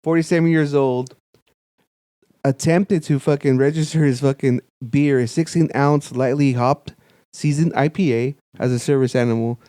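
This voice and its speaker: A man co-hosting a podcast, telling stories in a profane, animated way. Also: medium pitch, normal speed, smooth, no echo, studio quality. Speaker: podcast co-host. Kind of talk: casual chat.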